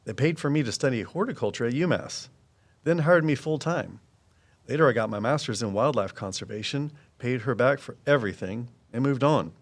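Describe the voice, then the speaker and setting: Casting: knowledgeable male woodsman, guarded and folksy, mid-pitched, very varied intonation, audio drama. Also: lively intonation, a little fast. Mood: reflective. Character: Walt, guarded, knowledgeable woodsman and conservation volunteer. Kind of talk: full-cast audio drama dialogue.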